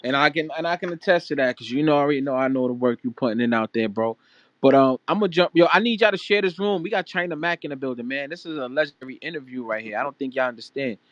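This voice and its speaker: A man's voice: medium pitch, fast, fairly bright tone, no room sound. A man hosting a live audio room in casual street slang, informal and repetitive, speaking straight to listeners. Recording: dry, dull lo-fi audio chat.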